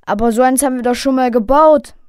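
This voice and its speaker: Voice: sits high, quick pace, clean tone, no room sound, clean studio sound, close to an everyday voice. A performer playing a friendly, curious boy in a children's radio play. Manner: curious, questioning.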